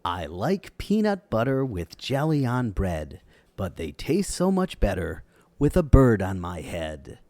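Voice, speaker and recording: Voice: deep voice, huge pitch swings, a little slow, darkish tone, no echo. A storybook reader narrating a children's tale in the first person as a cheerful rhino.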